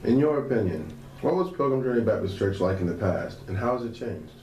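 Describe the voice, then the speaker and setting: A man asks oral history interview questions slowly and deliberately. His voice is lowish and mellow, heard from a distance. The room has an echo and a faint hiss. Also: sing-song. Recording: echoey room, distant from mic, faint noise.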